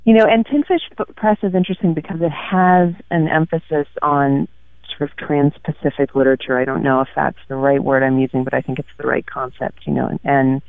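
A man talking about his work in a halting, thoughtful way, with many fillers, as a guest on an interview show, heard over a tinny line. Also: fairly high pitch, fairly even intonation, gravelly, clear, resonant tone, no room sound. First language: French. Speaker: guest author. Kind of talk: casual interview chat. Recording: tinny phone-like radio line.

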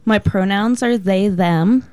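A woman's voice: medium pitch, takes it slowly, mellow. A woman in her twenties talking informally as a guest on a radio panel in a studio. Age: 20s.

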